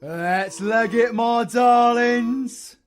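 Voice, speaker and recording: A man's voice: very high-pitched, drawn-out words, faint music behind, exaggerated voice. A British man playing a cheeky, flamboyant supervillain in an audio roleplay, with a slangy, theatrical manner. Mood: playful, triumphant. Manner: theatrical, slangy, swinging from soft to shouting. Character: cheeky, flamboyant young male supervillain, affectionate and chaotic.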